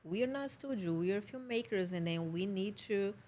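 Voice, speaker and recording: Podcast guest: Brazilian woman with a Brazilian accent, halting English, average pitch, chatty and laughing. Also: lively intonation, a little mellow. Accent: Brazilian Portuguese. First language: Portuguese. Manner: halting, searching for English words, laughs often. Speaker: film producer guest. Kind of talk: casual podcast conversation.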